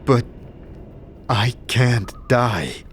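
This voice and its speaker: A man's voice: mid-range voice, animated, very slow, a little hiss or hum, voice pushed up. A man playing an earnest, troubled immortal wanderer, questioning urgently and faltering in a fantasy audio drama. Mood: bewildered.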